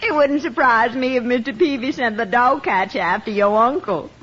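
A woman's voice: a little high, normal speed, a bit bright, slightly rough. An actress playing a flirtatious, self-assured society lady in a 1950s radio sitcom, coquettish and knowing. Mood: coy. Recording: muffled old radio broadcast.

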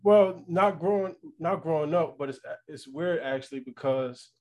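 A man's voice: fairly high pitch, normal speed, highs cut off. A man with an American accent, from New Jersey and Florida, chatting casually and informally as a podcast guest. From New Jersey and Florida, United States. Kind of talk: casual chat.

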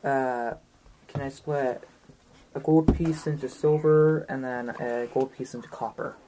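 A woman's voice: rumbling low, fairly even intonation, unhurried, background noise. A woman chatting casually as a player in a tabletop game, with wry, dry joking.

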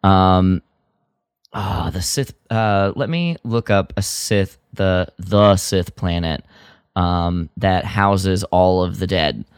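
A man co-hosting a casual podcast discussion, talking in a halting way as he thinks aloud. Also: deep voice, smooth, dry recording, clean studio sound. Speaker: podcast co-host.

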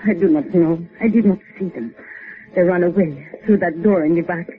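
An actress playing a grief-stricken, frightened Cuban mother in a 1950s radio melodrama, speaking halting, formal English. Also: lowish voice, natural voice. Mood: fearful. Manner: slow, halting, formal English without contractions. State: distraught. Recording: narrow-band old 1950s radio, faint music.

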